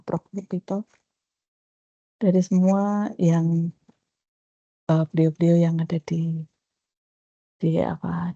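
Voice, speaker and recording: A woman, reflecting on her doctoral journey in a personal talk to a research group, with many pauses and fillers. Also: fairly low pitch, dark tone, dry recording. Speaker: doctoral graduate sharing her experience. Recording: dull lo-fi call audio.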